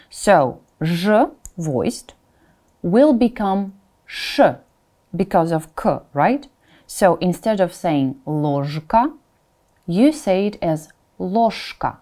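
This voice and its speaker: A woman teacher giving a pronunciation lesson, explaining to learners in an encouraging way and sounding out example words deliberately. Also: on the low side, darkish tone. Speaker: Russian language teacher.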